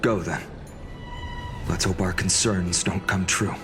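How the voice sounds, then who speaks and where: An actor playing a calm, noble young warrior lord in a video game, speaking in a measured, comradely way. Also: male voice, deep voice, music in the background. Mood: grave. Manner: measured, gently teasing.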